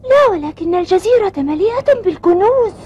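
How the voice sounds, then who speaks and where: A woman playing an excitable, greedy young boy in an Arabic cartoon dub, with an eager, exclamatory delivery. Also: very high-pitched, a little fast. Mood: questioning. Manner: exclamatory, eager, breathless rush. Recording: dull lo-fi audio, noisy background.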